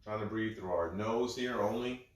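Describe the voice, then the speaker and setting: Calm, slightly congested man in echoey room. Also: fairly low pitch, moderate pace. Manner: calm, gentle step-by-step cueing. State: a little congested. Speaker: breathwork instructor. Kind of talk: guided breathing instruction.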